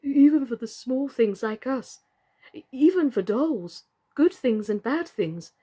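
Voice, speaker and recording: Woman voicing an anxious, wistful gentleman doll. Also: high-pitched, animated, unhurried, husky, voice pushed up, heavily acted. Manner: earnest, wistful, slightly trembling. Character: anxious, sentimental male doll in a children's storybook.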